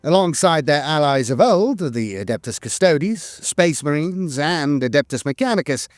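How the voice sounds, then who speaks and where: High-pitched man, solemn lore narrator. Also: darkish tone, no echo, clean studio sound.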